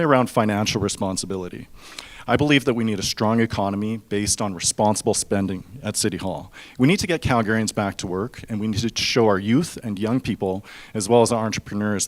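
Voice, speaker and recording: Young canadian guy, kinda low voice, earnest stump speech at a forum. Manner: earnest, personal storytelling with occasional restarts. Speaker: mayoral candidate.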